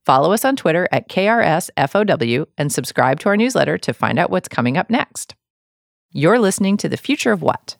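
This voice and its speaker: A woman's voice: fairly low pitch, very animated, a little fast, gravelly, no room sound, clean studio sound. A woman hosting an interview podcast in a conversational style.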